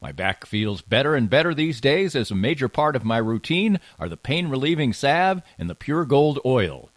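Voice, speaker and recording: Lively male podcast host, close and dry. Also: mid-range voice, normal speed, dry recording. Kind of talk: casual chat and sponsor reads.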